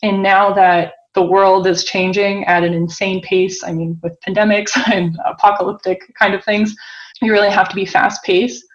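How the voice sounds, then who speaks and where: A woman with an American accent talks in a loose, conversational interview style. Her voice sits at a medium pitch and she acts out other people's remarks as she explains. The recording is a little dull and lo-fi. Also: level tone, normal speed. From United States (Boston). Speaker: interview guest, tech entrepreneur. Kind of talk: casual interview talk.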